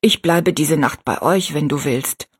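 A woman voicing a tiny, kind and wise old woman who speaks softly, slowly and in a hushed way. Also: low-pitched, breathy edge, pitched below the performer's own voice. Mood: gentle. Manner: soft, slow and hushed.

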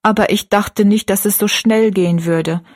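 Anxious storybook girl read by a woman, gentle, questioning, husky tone. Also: mid-range voice, fairly even intonation, moderate pace, close to an everyday voice. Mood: worried. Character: worried, caring young girl friend. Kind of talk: audiobook character reading.

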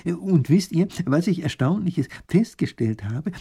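A man's voice: fairly high pitch, expressive, measured pace, creaky, mellow, breathy edge, pitched above the performer's own voice, full character voice. A man voicing a fussy, hesitant old male scholar who stammers and fills his speech with ums. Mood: fretful.

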